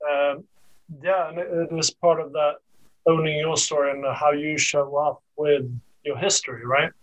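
A man's voice: on the high side, flat delivery, normal speed, a bit bright, lo-fi sound. A man hosting a group discussion, affirming the speakers and checking understanding with small tag questions. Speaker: discussion host.

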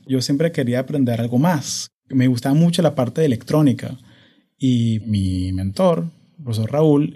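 A man in his thirties with a Venezuelan accent, hosting a casual podcast with energetic, hyperkinetic talk that mixes in English words. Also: mid-range voice, husky. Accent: Venezuelan Spanish. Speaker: podcast host. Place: home podcast studio. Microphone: studio microphone.

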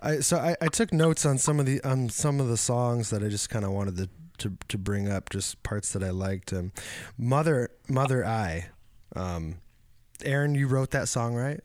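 A man hosting a casual interview, chatting in a relaxed, meandering way. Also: mid-range voice, moderate pace, no echo. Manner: relaxed, chatty, drifting with fillers. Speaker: podcast host.

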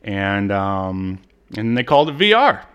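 A man co-hosting a podcast, casually recapping a show with a hesitant, halting delivery. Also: lowish voice, very animated, a bit bright, clean tone. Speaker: podcast co-host. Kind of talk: casual chat, recapping a TV episode.

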